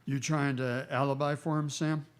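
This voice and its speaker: A man with an American accent playing a steady, terse Old West marshal in a radio western. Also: mid-range voice, normal speed, smooth, close to an everyday voice. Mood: probing.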